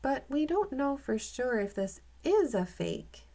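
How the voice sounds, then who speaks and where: A sharp, questioning young girl from a children's storybook, played by a woman reader. Her voice is high and she speaks with pointed curiosity. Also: sing-song, measured pace, exaggerated voice. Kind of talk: children's storybook read-aloud.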